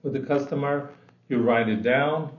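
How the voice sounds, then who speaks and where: Man, from Sweden, client role-play, halting, repeats words, echoey dull recording. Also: medium pitch. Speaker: business client. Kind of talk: role-play sales meeting. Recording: dull sound in an echoey room.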